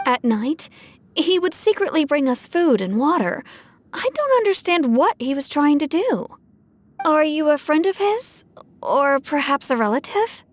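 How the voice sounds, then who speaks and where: Gentle village mother for a game: very high, lilting, earnest, anxious. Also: very animated, measured pace. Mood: thoughtful. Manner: earnest, emotional, polite. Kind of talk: video game voice acting.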